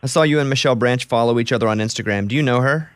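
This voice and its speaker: A man's voice: mid-range voice, rapid-fire, a bit bright, clean tone, no echo. A man hosting a radio show, chatting casually and enthusiastically with a guest, often restarting his sentences. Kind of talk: casual interview chat. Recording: dry close studio mic.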